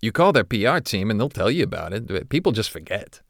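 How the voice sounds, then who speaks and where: An actor playing an older, guilt-ridden retired corporate executive who confides haltingly, as in an audio drama interview. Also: male voice, on the low side, very animated, gravelly, no room sound, studio quality. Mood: wry, defensive. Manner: hesitant, confessional, halting.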